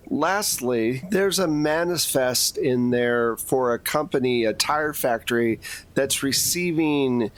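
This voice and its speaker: Slow-paced man narrating a tabletop game. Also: medium pitch, a bit bright. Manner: casual, conversational scene-setting. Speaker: game master.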